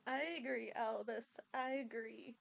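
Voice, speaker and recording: A woman playing a formal, stern older female professor in an amateur audio drama, announcing in a measured way over a noisy home recording. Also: a little high, level tone, vocal fry. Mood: dry.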